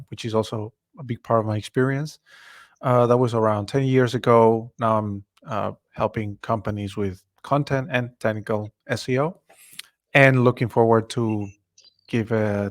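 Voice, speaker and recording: Relaxed male co-host from Ecuador, chatty and welcoming on an online call. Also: medium pitch. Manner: easygoing, greets attendees by name. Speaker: webinar co-host. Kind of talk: live webinar, casual chat.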